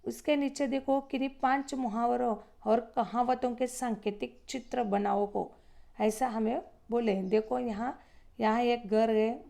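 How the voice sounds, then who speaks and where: A woman teacher slowly explaining a Hindi textbook lesson, patiently glossing each phrase in simple words with frequent hesitations. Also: a little high, vocal fry, dark tone. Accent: Marathi-influenced Hindi.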